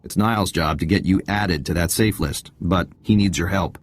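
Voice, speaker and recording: A deep-voiced intelligence agent. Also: male voice, moderate pace. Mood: assured briefing. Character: confident male military intelligence agent giving a mission briefing. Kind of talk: video game cutscene dialogue.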